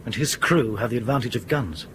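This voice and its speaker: Actor as blunt, exasperated, reluctant captain. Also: male voice, medium pitch, expressive, fairly quick, slight background noise, natural voice. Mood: weary doubt. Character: gruff, sceptical, reluctant male captain. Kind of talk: radio drama acting.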